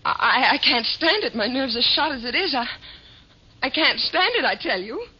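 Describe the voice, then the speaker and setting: A woman's voice: high voice, lively intonation, moderate pace. An actress playing a frightened, indignant woman with shattered nerves, agitated and stammering, in 1950s radio drama style. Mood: frantic, exasperated. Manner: agitated, repeats herself and stammers. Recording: muffled, hissy 1950s radio recording.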